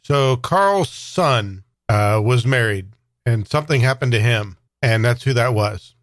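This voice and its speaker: A man's voice: medium pitch, animated, measured pace, no room sound. A man playing his character in a tabletop role-play game, talking plainly and a little unsure. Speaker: player.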